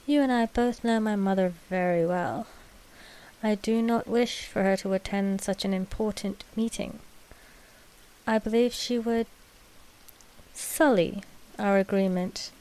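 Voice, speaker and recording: A woman's voice: mid-range voice, a little mellow, natural voice, poor audio. A woman playing a proud, possessive vampire queen-to-be in an audio roleplay, with haughty, formal, precise speech. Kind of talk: scripted audio roleplay drama.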